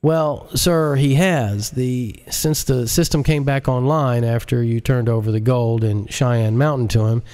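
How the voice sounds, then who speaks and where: A timid, deferential agency director in an audiobook thriller, voiced by a male narrator. He explains himself to a superior in a raised pitch. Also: fairly even intonation, a little slow, hardly any pauses, dark tone, natural voice. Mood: timid, defensive. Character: timid agency director answering his boss.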